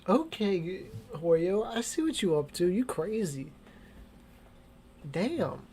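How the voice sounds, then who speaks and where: A man's voice: high-pitched, big pitch swings, takes it slowly, warm tone. A man giving casual, slangy gameplay commentary in an offhand way. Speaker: streamer reacting to a game.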